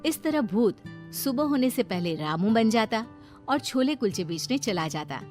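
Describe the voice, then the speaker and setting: A woman's voice: fairly high pitch, sing-song, a little slow, darkish tone, soft background music. A woman reading a scripted moral bedtime story as the narrator.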